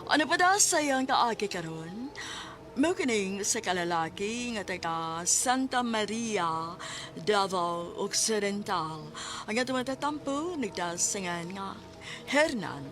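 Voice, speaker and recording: A woman announcer with a Filipino accent introduces a radio drama. Her scripted delivery is slow and animated, with music clearly playing behind her. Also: mid-range voice.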